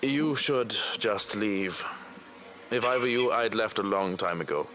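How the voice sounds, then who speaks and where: A man's voice: lowish voice, a little slow, a bit bright, slight background noise. An actor playing a surly, ominous servant in a visual novel, coolly insolent in his scripted warnings.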